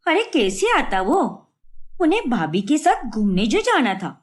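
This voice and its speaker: A woman voicing a protective, sharp-tongued young daughter in a story, indignant and pointed. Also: fairly high pitch, very animated, a little slow. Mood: sarcastic.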